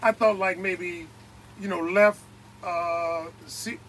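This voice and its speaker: A man's voice: sits high, fairly even intonation, slow pace, a bit bright, slight background noise, poor audio. A man hosting a casual outdoor interview, asking curious follow-up questions. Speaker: host.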